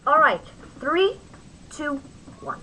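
A woman giving a casual software tutorial in an informal, halting way, often interrupting and talking to herself. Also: high voice, expressive, rough recording. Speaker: tutorial host. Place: at home, at a computer.

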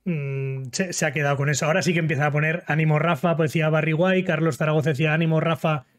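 Man reading the chat, a little high. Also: quick pace. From Spain. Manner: relaxed, reads out comments. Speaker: podcast panelist reading the live chat. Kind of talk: casual chat.

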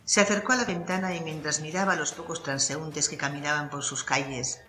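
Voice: medium pitch, fairly even intonation, fairly quick, roomy sound, music in the background. A narrator reading a scripted crime story for an audiobook.

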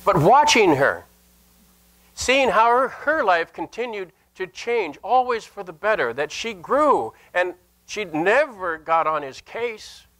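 An older man, a Lutheran pastor, preaching a sermon in an earnest, emphatic manner with deliberate pauses and repeated phrases. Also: sits high, big pitch swings, fairly bright tone, rough recording. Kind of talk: sermon with scripture and anecdote. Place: indoor church sanctuary at a lectern.

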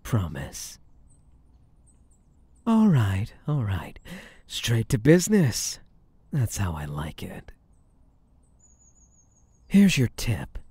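Male mafia boss, acted by a man for ASMR roleplay: low, husky, smug and possessive, teasing with menace. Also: huge pitch swings, drawn-out words, long pauses, mellow, no echo, heavily acted. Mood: flirtatious. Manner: teasing, drawling, menacingly sweet with pet names. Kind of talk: intimate ASMR roleplay acting.

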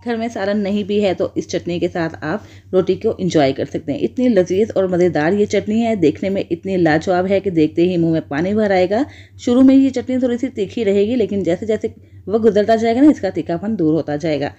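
A woman, calmly walking viewers through a home cooking recipe step by step. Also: mid-range voice, brisk, highs cut off. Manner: patient, repetitive step-by-step instruction. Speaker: cooking channel host. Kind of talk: voice-over cooking tutorial.

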